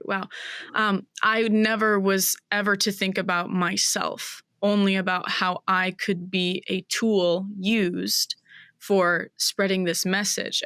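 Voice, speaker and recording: A woman in her twenties, a guest in an interview, talking in a thoughtful, searching way with many pauses and restarts. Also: mid-range voice, a bit bright, smooth, dry recording, studio quality. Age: 20s. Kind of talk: reflective interview conversation.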